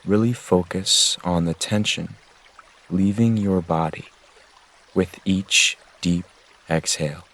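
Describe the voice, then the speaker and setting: A man gently guiding a meditation with measured, soothing instructions. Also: sits low. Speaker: meditation guide. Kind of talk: guided meditation narration.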